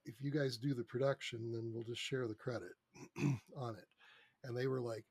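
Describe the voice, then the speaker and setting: A man with a husky voice telling stories casually as an interview guest. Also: medium pitch, steady tone, normal speed, darkish tone, no echo. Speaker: interview guest, radio drama producer.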